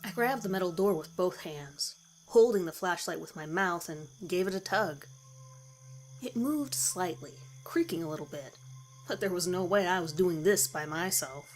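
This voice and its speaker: A woman's voice: lowish voice, lively intonation, normal speed, poor audio. A woman reading a scripted first-person horror story as its narrator. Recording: music bed under the voice. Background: background music.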